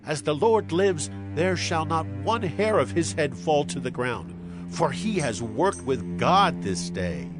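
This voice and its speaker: An actor playing an earnest, loyal Israelite speaking up for the people in a dramatized audio Bible, with emphatic delivery. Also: male voice, on the high side, sing-song, measured pace. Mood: firm protest. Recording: music under the voice.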